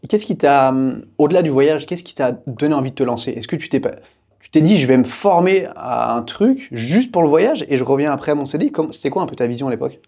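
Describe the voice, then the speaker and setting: Fast-talking young man with a mellow voice. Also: mid-range voice, expressive. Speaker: podcast host. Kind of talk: casual chat interviewing.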